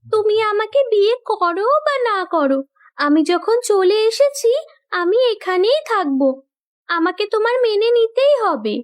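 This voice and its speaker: Woman acting a very high-voiced, determined young wife. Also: big pitch swings, measured pace, full character voice. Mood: earnest, insistent. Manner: earnest, insistent. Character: determined, loving young landlord's daughter turned farmer's wife. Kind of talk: cartoon story voice acting.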